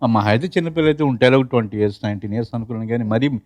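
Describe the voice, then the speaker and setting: A man's voice: mid-range voice, very fast, a little mellow. A man interviewing in a casual, bantering way, chatty and playful as he talks.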